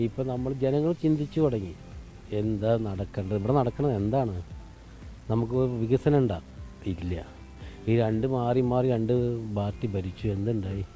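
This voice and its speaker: A man with a central Kerala Malayali accent giving informal opinions as a street interviewee, halting, repetitive and emphatic. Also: on the low side, warm tone, soft background music. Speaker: local interviewee. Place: outdoors under a shade tree.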